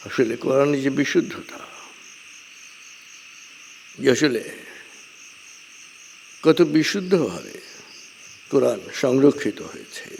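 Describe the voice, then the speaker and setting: A man giving a measured religious lecture, speaking deliberately in short phrases with frequent pauses. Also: mid-range voice, sing-song, darkish tone. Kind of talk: measured religious lecture, partly scripted narration. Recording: long hall reverb, clear background noise.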